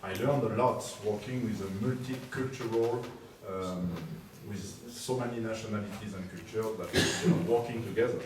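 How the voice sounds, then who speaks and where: A man with a French accent speaks on an aviation panel, halting often with fillers. His voice sits low. Heavy hall echo surrounds him, and he was picked up from a distant mic. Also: noisy background. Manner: halting, many fillers and restarts. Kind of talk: panel discussion. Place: large conference hall. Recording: echoey hall, distant mic, faint ambience.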